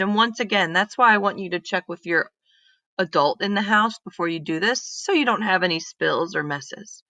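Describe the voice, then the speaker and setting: A woman reading a children's story aloud, expressive and instructive, speaking directly to young listeners. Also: mid-range voice, no echo.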